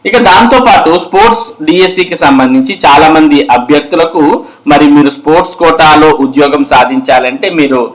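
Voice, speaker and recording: A man's voice: fairly high pitch, a bit bright, rough recording. A man explains news updates step by step in an instructional way, addressing viewers directly. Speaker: host of an education and job news channel.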